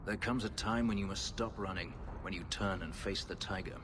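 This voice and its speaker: An actor playing a brooding, bitter fugitive slave warrior in a video game, with grave, formal, measured speech. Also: male voice, fairly low pitch, noisy background, close to an everyday voice. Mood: grave, courteous.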